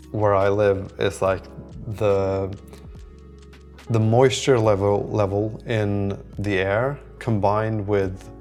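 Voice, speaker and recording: A man's voice: lowish voice, darkish tone. A man hosting a video diary, chatting casually in an informal, rambling and hesitant way. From lives in southern Sweden. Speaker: host of a startup-building video diary. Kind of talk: casual vlog chat. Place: indoor room beside a window.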